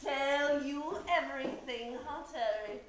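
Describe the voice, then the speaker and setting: A woman's voice: high-pitched, slow pace, a crowd in the background. A woman playing a feisty, folksy, suspicious character in a comic old-time radio mystery, with bold, ungrammatical talk. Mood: taunting, menacing. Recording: echoey stage, distant mic, clear background noise.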